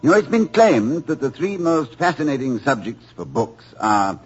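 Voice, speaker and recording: An actor playing a genteel, witty college president with a dry, urbane manner, in 1940s radio acting on a dull old broadcast recording. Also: male voice, mid-range voice, lively intonation, normal speed, natural voice. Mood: wry. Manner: urbane, dry wit, chuckles often.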